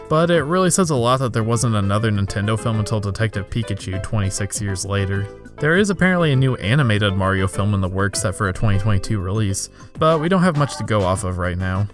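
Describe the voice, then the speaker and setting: A man narrating a scripted comedic video review in a sarcastic, exasperated way. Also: lowish voice. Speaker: video essay host. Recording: background music under voice. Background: music.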